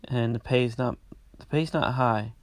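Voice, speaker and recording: A man hosting his own podcast, talking casually about his week, congested and halting with many restarts. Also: mid-range voice, no echo. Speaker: podcast host. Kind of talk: casual personal update.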